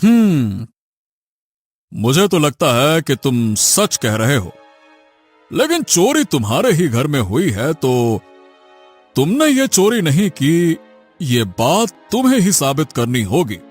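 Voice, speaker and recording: Man as expressive, high-pitched village headman. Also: takes it slowly, soft background music, full character voice. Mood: measured, questioning. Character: deliberate village headman judging a dispute.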